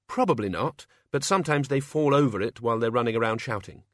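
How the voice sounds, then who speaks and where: A man's voice: medium pitch, fast, fairly bright tone, no room sound, natural voice. Storybook heroine, male reader: sensible, wry, matter-of-fact, close dry sound. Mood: dry resignation. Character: sensible, dry, composed young woman.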